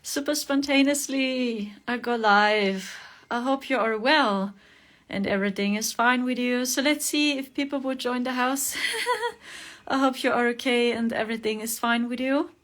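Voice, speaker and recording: A woman's voice: on the high side, a little slow, fairly bright tone. A woman with a German accent, speaking English as a second language, chatting casually to viewers while hosting a spiritual livestream. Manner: chatty, greets viewers, frequent laughter and fillers.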